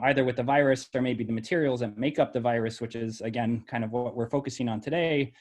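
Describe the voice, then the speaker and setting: A middle-aged male university researcher talks his listeners through a slide presentation. His pitch sits in the ordinary middle range. He speaks in a measured, explanatory way and scatters ums and uhs through his sentences. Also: a little mellow. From born in El Salvador, raised in Ohio.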